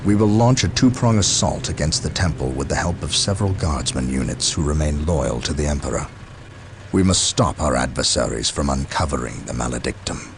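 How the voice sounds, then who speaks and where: An actor playing a grim, resolute space soldier commander in a video game, solemn and commanding. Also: male voice, extremely low pitch, animated, fairly quick, gravelly, over music, full character voice. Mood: grave resolve. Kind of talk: dramatic video game cutscene acting.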